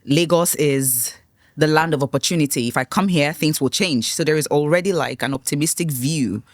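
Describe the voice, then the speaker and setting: A man's voice: fairly high pitch, normal speed, studio quality. A man hosting an interview who asks questions and often repeats the guest's words back to him. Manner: brief affirmations, echoes guest's words. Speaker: interview host.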